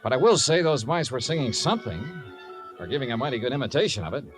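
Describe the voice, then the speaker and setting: A man's voice: medium pitch, big pitch swings, fast talker, music in the background, lightly acted. An actor playing a wry male investigator narrating a 1950s radio drama, dry in manner. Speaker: narrating detective.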